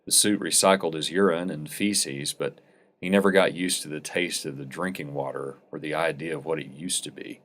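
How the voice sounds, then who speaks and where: A man narrating a science fiction short story as a scripted, dramatic reading. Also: sits low.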